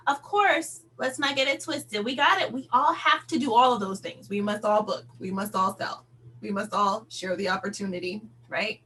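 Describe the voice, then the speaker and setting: A woman's voice: high voice, fairly bright tone, low-quality recording. A woman speaking as a sales director on a team call, giving a motivational talk in an encouraging, conversational and emphatic manner. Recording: noticeable background noise, hum.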